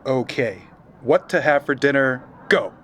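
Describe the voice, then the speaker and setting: A man's voice: medium pitch, a little slow, a bit bright, a little hiss or hum, natural voice. An actor playing a bored, mouthy modern teenage boy in a fantasy audio drama, slangy and whiny. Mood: casual, playful.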